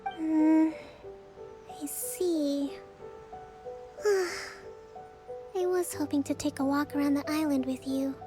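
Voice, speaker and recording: A gentle, slow-spoken young leader. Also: female voice, high voice. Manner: gentle, calm and sighing. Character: soft-spoken, weary young woman leader. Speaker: island leader. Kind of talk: video game voice acting.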